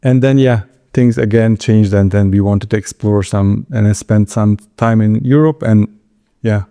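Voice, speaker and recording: A man with a Turkish accent giving informal interview answers, halting and restarting his words as he goes. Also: lowish voice, steady tone, mellow. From Istanbul, Turkey. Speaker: interview guest, entrepreneur.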